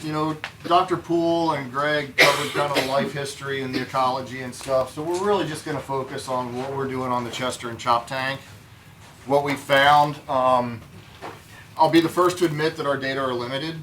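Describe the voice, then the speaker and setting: An informal, plainspoken man gives a conference talk as a field biologist. His voice sits at an ordinary middle pitch. It reaches the mic from a distance, with a faint hiss in the room. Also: steady tone, moderate pace.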